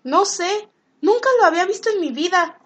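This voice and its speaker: Casting: actress as affectionate, shy young daughter in love, high voice, comic radio play. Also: female voice, animated, normal speed, fairly bright tone. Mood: puzzled, worried.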